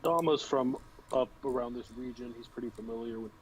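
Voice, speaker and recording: A man's voice: medium pitch, brisk, breathy edge, rough recording. A man playing a tabletop game, casually describing what his character does. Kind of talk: casual chat. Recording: faint noise, online voice call.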